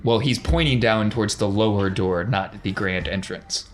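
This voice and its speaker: A man's voice: on the low side, normal speed. A man acting as game master, narrating a tabletop roleplaying game in a casual, explanatory way. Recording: faint music behind the voice.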